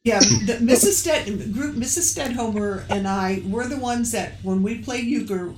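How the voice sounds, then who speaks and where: A woman's voice: fairly high pitch, measured pace, darkish tone, slight background noise. A woman hosting a live chat stream who teases her guests and laughs often. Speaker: host.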